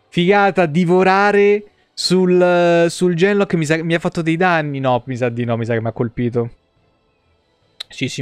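High-pitched man streaming, dry sound. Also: clean tone, no echo. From Italy. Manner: colloquial, slangy. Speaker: game streamer. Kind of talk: casual live gameplay commentary. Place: indoor streaming room.

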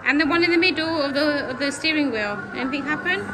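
A woman's voice: high-pitched, fast, music in the background, low-quality recording. A woman filming her child on a ride outdoors, chatting cheerfully, asking lots of questions and cheering him on. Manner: cheerful, asks many questions, cheers often. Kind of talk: casual encouraging chat behind the camera. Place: shopping street outdoors by a kiddie ride. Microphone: phone camera held by the speaker.

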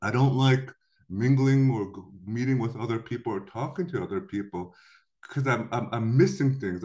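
A middle-aged man from Korea gives a recorded lecture into a webcam in an indoor room. His voice moves up and down a lot as he explains, and he slips into quick acted-out quotes. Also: lowish voice, a little mellow.

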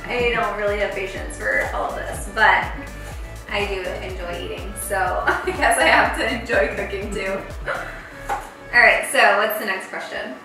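A woman's voice: medium pitch, moderate pace. Chatty woman in an echoey kitchen. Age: late 20s. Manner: chatty, bubbly, heavy use of "like". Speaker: lifestyle vlogger. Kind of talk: casual chat while cooking. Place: large home kitchen, indoors. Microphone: far camera mic. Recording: echoey kitchen from far off, background music.

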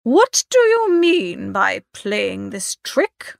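A man plays a stern old aristocratic uncle in a storybook reading. The voice is pitched high and drawn out, swinging widely as he puts haughty, disapproving questions. The sound is close and dry. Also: wildly swooping pitch, creaky, dry recording, full character voice. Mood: indignant. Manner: slow, clipped, haughty questioning. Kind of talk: audiobook character acting.

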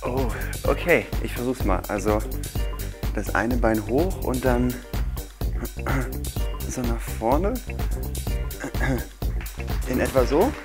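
Man, thirties, mid-pitched voice, children's show magician, explanatory style, music behind. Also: expressive, slow, long pauses. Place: small indoor TV studio set. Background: background music.

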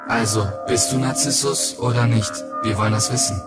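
A man's voice: medium pitch, steady tone, normal speed, natural voice. An actor playing an accusing, confrontational male schoolyard bully in radio drama dialogue. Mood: accusing, menacing. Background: loud music.

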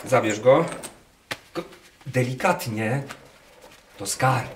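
An actor in a radio play voicing a condescending German camp officer who collects birds, halting and musing between commands. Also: male voice, medium pitch, a little hiss or hum. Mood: commanding. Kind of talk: radio drama acting. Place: wooden barn, live performance. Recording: roomy barn sound with music behind. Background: music.

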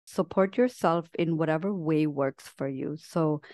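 A woman leading a guided meditation as a teacher, speaking gently and leaving long, unhurried pauses between phrases. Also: deep voice, dry recording, clean studio sound. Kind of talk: guided meditation, slow soothing instruction.

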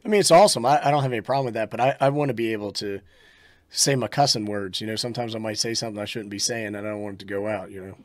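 Low-voiced man hosting a casual chat. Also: very fast, dry recording. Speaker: online show host. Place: indoor home radio studio, on a video call. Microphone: close studio microphone on a boom.

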